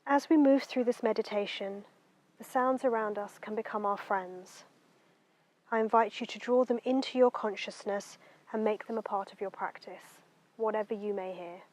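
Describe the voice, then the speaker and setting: A woman guiding a meditation in a gentle, unhurried, soothing way, letting her phrases end softly. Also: mid-range voice. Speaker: meditation guide. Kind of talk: guided meditation narration.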